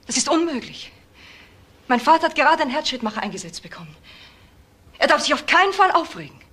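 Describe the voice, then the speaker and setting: An actress playing a bereaved, guarded young fiancée and protective daughter in a crime radio drama. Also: female voice, high voice, sing-song, quick pace, a bit bright. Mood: distressed, protective. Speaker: actor.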